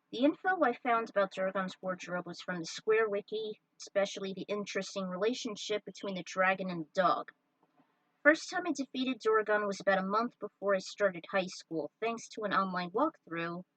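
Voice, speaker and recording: A woman reading her own story aloud and chatting informally to her followers, with an excitable manner. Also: medium pitch, no room sound, distant mic, poor audio. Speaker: fan fiction writer and narrator.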